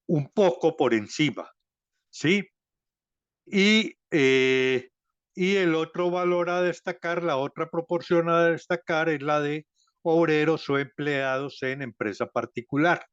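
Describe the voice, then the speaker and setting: Slow, hesitant male researcher presenting. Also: mid-range voice, smooth, dry recording, dull audio. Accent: Colombian Spanish. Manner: slow and deliberate, frequent hesitations and self-corrections. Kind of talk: academic presentation.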